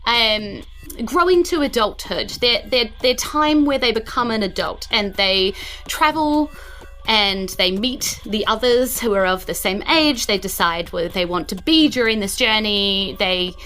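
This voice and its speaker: A woman's voice: medium pitch, lively intonation, unhurried, pushed and bright. A woman playing an anxious, hesitant town official and worried mother in a tabletop fantasy game, often repeating her words.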